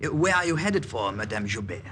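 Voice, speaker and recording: An actor playing a nervous, officious Nazi checkpoint guard with a German accent and a hesitant stammer, in 1940s radio style. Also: male voice, mid-range voice, big pitch swings, fairly quick, bright, forceful tone. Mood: flustered. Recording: faintly noisy vintage radio recording.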